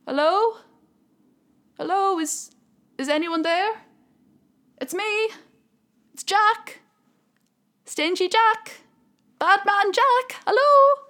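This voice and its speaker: A woman's voice: extremely high pitch, expressive, fast, hardly any pauses, voice pushed up. A woman voicing a wretched, desperate man, a sinner begging in a frantic, pleading way.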